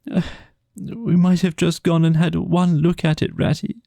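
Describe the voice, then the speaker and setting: A little storybook mole, voiced by a man, pitched well up from a normal male voice. He is gentle and homesick, and his words come out broken, stammered and tearful. The sound is close and dry. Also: little pitch movement, unhurried, creaky, no echo. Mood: sobbing, pleading. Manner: stammering, broken speech with gulps.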